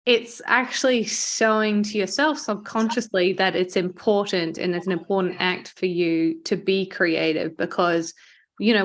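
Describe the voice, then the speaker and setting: An Australian woman hosting a podcast interview in a chatty, appreciative and affirming conversational way. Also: fairly low pitch. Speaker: podcast host.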